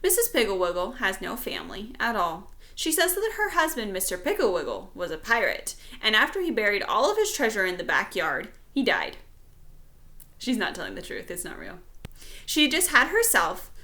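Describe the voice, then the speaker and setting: A woman's voice: medium pitch, pushed and bright. A woman teacher reading a children's storybook aloud, with casual explanatory asides addressed directly to young listeners.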